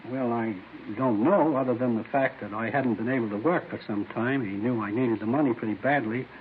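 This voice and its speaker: An actor playing an uneasy, down-on-his-luck man informing the police, speaking haltingly and earnestly in 1950s radio drama style. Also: medium pitch, lightly acted. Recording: hissy, tinny old radio recording.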